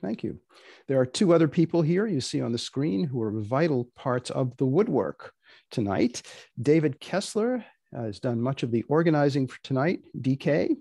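An older man hosts an informal online event in a deliberate, dry way. His voice is soft-edged and creaky, picked up closely with very little room sound. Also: medium pitch, big pitch swings, no room sound. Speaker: host introducing readers. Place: indoor room on video call.

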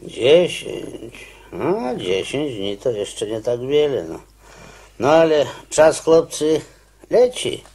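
A man acting a glum, grumbling prison warder in a Polish radio play, colloquial and halting. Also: medium pitch, very animated, vocal fry, darkish tone, slightly rough, exaggerated voice. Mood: glum, uneasy. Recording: faintly noisy radio play recording.

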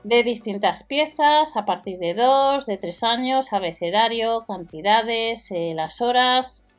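A woman's voice: on the high side, expressive, slow pace. Woman, voice-over, matter-of-fact price rundown, faint music behind. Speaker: deal-video host. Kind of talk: voice-over product walkthrough.

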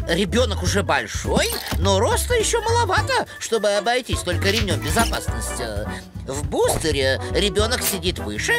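A woman's voice: medium pitch, wildly swooping pitch, normal speed. A woman voicing a motherly, instructive female owl in an educational cartoon, lecturing expressively. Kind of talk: animated educational cartoon acting. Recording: music behind the voice.

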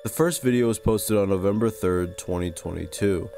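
A man with a low-pitched voice narrates a scripted video essay. His delivery is measured and serious. Music plays faintly behind him. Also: gravelly, warm tone. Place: close-recorded voice-over with music behind.

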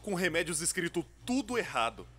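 A Brazilian man talks in a casual vlog manner. His delivery is low-key and slightly hesitant, rambling along informally as he tells personal anecdotes. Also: very animated, a little fast, a bit bright. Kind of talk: casual, rambling chat, dubbed over vlog footage.

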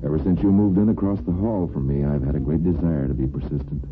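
Super deep smooth radio soap suitor, teasing n courtly, tinny old recording. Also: male voice, fast. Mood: teasing, persistent. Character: smooth, persistent male neighbor courting a nurse. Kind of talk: vintage radio soap opera acting. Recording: lo-fi vintage radio, faint music.

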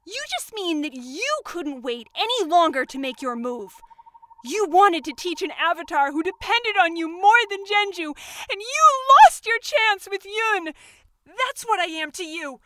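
A woman playing an earnest, easily wounded teenage girl in an animated drama, speaking in a halting, emotional way. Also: sits high, a little hiss or hum, full character voice. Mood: bitter, hurt.

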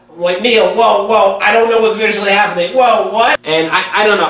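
A man's voice: on the high side, fairly bright tone. A man in his twenties giving a casual, emphatic, profanity-laced reaction review to camera. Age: 20s. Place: small home kitchen, indoors. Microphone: camera a few feet away. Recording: echoey kitchen, distant camera mic.

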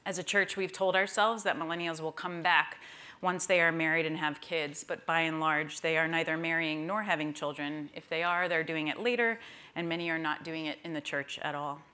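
A woman's voice: low-pitched, fairly even intonation, normal speed, bright, forceful tone. A woman in her thirties giving a semi-scripted presentation as a panel speaker. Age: 30s. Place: wood-panelled library room at a panel table. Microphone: lapel.

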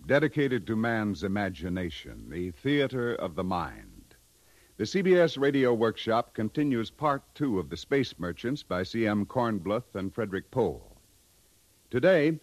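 A man's voice: lowish voice, no room sound. An American man announcing a 1950s radio drama in a dramatic, scripted style.

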